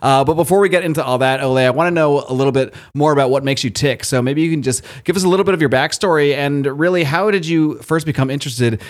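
A man's voice: a little high, quick pace, few pauses. Male podcast host, informal and chatty, conversational delivery. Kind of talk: conversational podcast intro and interview.

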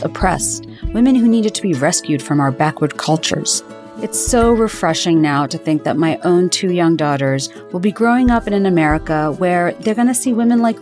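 A woman from Bangladesh hosting a podcast, asking questions and reading a measured, earnest outro. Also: fairly low pitch, a little mellow. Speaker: podcast host. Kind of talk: scripted podcast reflection and outro.

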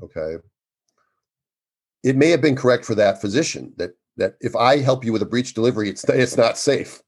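A man in his sixties, an obstetrician speaking as a guest in an interview, with a loud, emphatic and earnest way of talking. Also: mid-range voice, lively intonation, moderate pace, slightly rough. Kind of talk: conversational interview.

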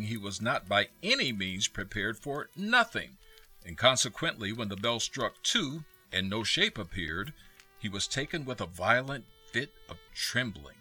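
A man playing the narrator of a radio play, articulate and expressive in scripted theatrical narration. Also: fairly low pitch, exaggerated voice. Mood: measured, wry. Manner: articulate, relishing descriptive lists. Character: expressive storybook narrator of a ghost story.

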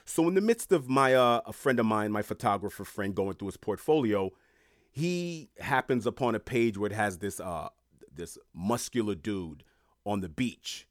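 A podcast host with a lowish male voice, recorded dry and close with no room sound. He is from Haiti and tells stories in an animated way, pushing up for emphasis and dropping low between points. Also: normal speed, dry recording. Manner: animated storyteller, rising and falling in emphasis. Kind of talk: casual podcast interview.

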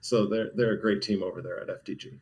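A man's voice: on the low side, steady tone, quick pace, a little mellow. Easygoing male game developer. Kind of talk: casual interview chat.

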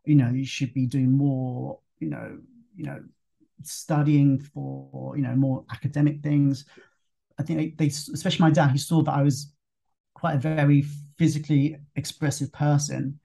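A man's voice: medium pitch, level tone, normal speed, creaky, dark tone. A young man, speaking as an interview guest in a reflective, hesitant way with many restarts. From London, England. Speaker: guest, fitness instructor. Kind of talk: casual interview talk.

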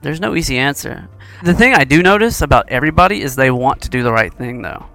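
A man hosts a casual discussion show, asking probing questions and restarting his sentences as he thinks them through. His voice is gravelly and bright. Soft music plays faintly underneath. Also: medium pitch, animated, fast. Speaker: show host and roundtable moderator.

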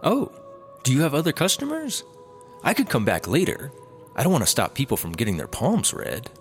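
A man's voice: mid-range voice, huge pitch swings, a little fast, faint music behind. A man playing a clueless, cheeky fellow in a comedy audio drama, with a glib, oblivious delivery. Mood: flippant. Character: clueless, cheeky man, flippant lovelorn rehab patient.

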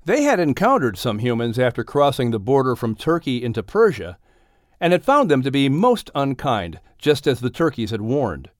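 Man, audiobook narrator, children's story, expressive delivery, animated melody, medium pitch, very dry recording. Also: moderate pace, dry recording.

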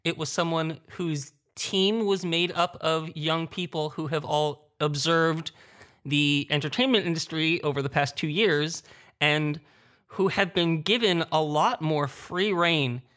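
A man's voice: on the high side, a little slow, a bit bright. A man speaking informally and conversationally as a panelist in a roundtable discussion.

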